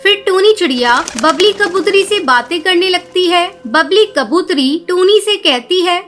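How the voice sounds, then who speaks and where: A woman's voice: very high-pitched, animated, a little slow, fairly bright tone. A woman reading scripted narration for a children's cartoon story.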